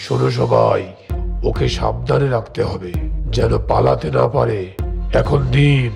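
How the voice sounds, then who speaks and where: A gruff cartoon bandit chief, speaks with a heavily gravelled, creaky voice. He is bossy and commanding, and faint music plays behind him. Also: medium pitch, unhurried. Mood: stern, scheming. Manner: bossy, giving orders. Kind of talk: cartoon voice acting.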